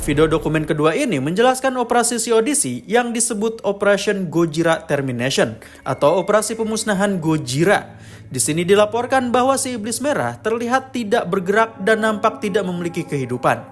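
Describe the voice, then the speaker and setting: A man's voice: high voice, sing-song, a little fast, soft background music. A man narrating a scripted explainer of a horror story. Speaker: narrator of a film lore channel.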